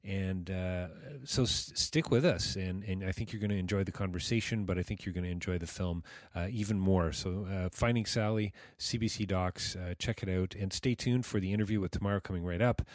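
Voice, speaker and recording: Deep-voiced man hosting an interview. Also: no room sound, clean studio sound. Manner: halting, many restarts and fillers. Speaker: podcast host. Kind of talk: casual interview with promo reads.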